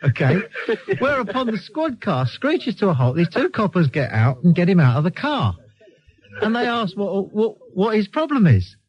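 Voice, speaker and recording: A man's voice: high-pitched, sing-song, normal speed. An English man hosting a live radio phone-in, bantering and telling anecdotes in a cheeky, teasing, sardonic way. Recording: dull lo-fi radio broadcast recording.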